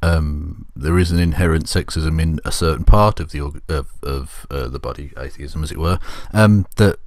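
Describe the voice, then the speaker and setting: Low-voiced, blunt male co-host. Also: moderate pace, darkish tone, no echo, studio quality. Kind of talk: casual panel commentary.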